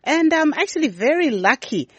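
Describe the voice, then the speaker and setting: A woman's voice: sits high, very animated, moderate pace, a bit bright. A woman hosting a studio program, introducing guests and prompting them with questions. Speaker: radio program host.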